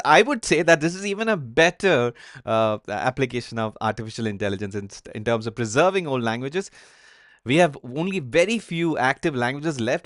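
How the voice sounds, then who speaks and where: A man's voice: mid-range voice, no echo, studio quality. A man co-hosting a talk show, discussing news stories casually, with many fillers and hesitations. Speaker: podcast co-host.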